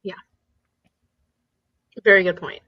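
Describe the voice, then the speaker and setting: A woman's voice: slow, highs cut off. A woman co-hosting an online book discussion in a casual, chatty style.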